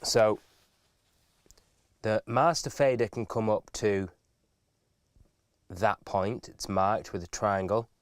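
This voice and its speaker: A man's voice: lowish voice, slow, long pauses, dry recording. Man giving methodical tutorial, dry close sound. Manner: methodical, instructive. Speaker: instructor. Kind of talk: informal step-by-step video tutorial.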